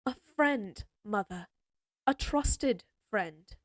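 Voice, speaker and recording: A woman's voice: mid-range voice, animated, extremely slow, dry recording, clean studio sound. A woman voicing a proud, headstrong young lady knight in a fantasy audio roleplay, with spirited, formal speech. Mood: guarded. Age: young adult.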